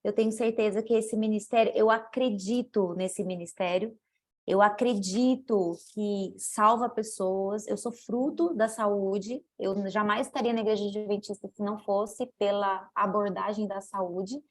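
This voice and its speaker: A woman's voice: medium pitch, steady tone, measured pace. A woman with a Brazilian Portuguese accent giving heartfelt closing words with a personal testimony. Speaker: guest speaker. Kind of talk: closing words in an online class.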